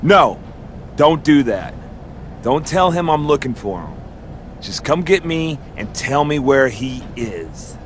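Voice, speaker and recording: A man's voice: mid-range voice, big pitch swings, takes it slowly, a little hiss or hum. A man playing an earnest wrestler in a video game cutscene. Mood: anxious.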